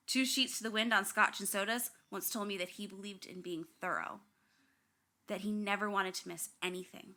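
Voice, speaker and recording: A woman's voice: medium pitch, pushed and bright, lightly acted. A young woman playing a candid, wry daughter haunted by family tragedy, narrating a radio drama. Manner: reflective, wry. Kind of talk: first-person scripted narration.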